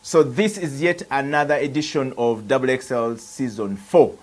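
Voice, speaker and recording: A man's voice: mid-range voice, normal speed, darkish tone. Man hosting a book interview. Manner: formal introductions, questions broken by uhs. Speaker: TV show host.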